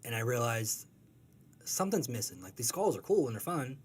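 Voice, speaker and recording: A man's voice: medium pitch, big pitch swings, fairly quick. Man, young adult, comic strip creator, casual interview guest, rambling, self-deprecating, faint background hum.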